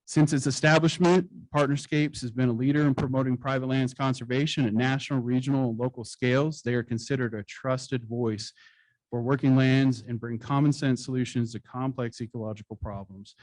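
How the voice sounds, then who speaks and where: A man presenting awards at a conference, reading citations in a formal, measured way with occasional slips. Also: mid-range voice, fairly even intonation, a little mellow, no echo, low-quality recording. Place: conference hall stage.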